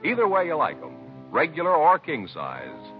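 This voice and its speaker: Animated vintage male radio announcer. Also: a little high, moderate pace, soft background music. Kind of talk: 1950s scripted radio announcing and sponsor reads. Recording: dull vintage radio recording.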